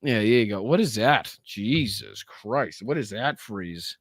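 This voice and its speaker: A man's voice: fairly low pitch, animated, unhurried, dry recording. Boston guy on a livestream, swears a lot, mocks everything, dry close mic. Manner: crude, profane, mocking, laughs often. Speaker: livestream host. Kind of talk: live reaction commentary.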